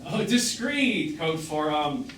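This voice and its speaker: A sarcastic, wounded man in middle age, delivers cutting stage-drama lines in a lively, rather high voice. He is emphatic and theatrical. The sound is roomy and noisy, picked up from out in a theatre. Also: slow, distant mic. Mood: bitter sarcasm. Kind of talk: live one-act stage drama acting. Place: small theatre stage, recorded from afar.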